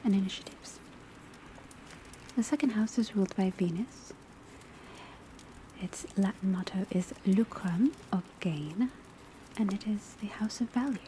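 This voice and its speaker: A woman playing a calm, studious witch academy professor, lecturing in a soft, breathy, mostly whispered ASMR style with measured, deliberate delivery. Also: mid-range voice, slight background noise, natural voice, low-quality recording. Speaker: teacher lecturing a class. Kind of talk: ASMR classroom roleplay, soft-spoken lecture. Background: faint patter of rain on rooftop.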